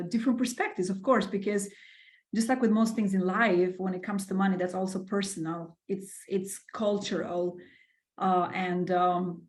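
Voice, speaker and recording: A middle-aged woman from Albania, a podcast guest telling her career story with enthusiasm, using lots of fillers and restarts. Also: mid-range voice. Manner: enthusiastic, with many fillers and repeated words. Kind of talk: casual interview storytelling.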